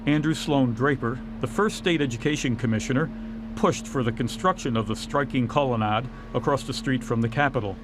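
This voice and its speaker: A man's voice: medium pitch, brisk, noisy background. An American man narrating a scripted television news report as a reporter.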